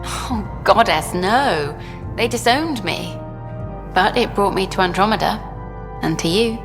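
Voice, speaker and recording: An actress playing a flirtatious, worldly journalist in a video game, talking in an intimate, teasing way. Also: female voice, mid-range voice, lively intonation, a little slow. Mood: confiding. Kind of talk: video game dialogue acting. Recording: hall reverb with music behind.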